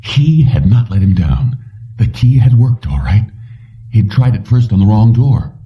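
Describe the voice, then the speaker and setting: A man with a very low voice narrates a story from a script. The sound is dull and muffled, as if he sits well away from the microphone. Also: very animated, normal speed, distant mic. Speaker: audiobook reader.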